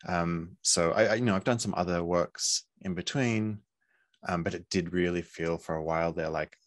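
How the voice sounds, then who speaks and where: A man in his forties from Poland, talking over a video call in a hesitant, thoughtful way with many pauses. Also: low voice, dry recording, clean studio sound. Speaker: artist. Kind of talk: casual interview. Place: home room, video call.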